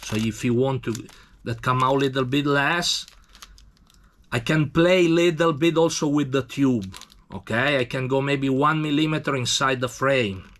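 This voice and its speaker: A man from Italy with an Italian accent, speaking halting, broken English as he gives a casual hands-on demonstration. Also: mid-range voice, a bit bright. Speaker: tattoo machine demonstrator.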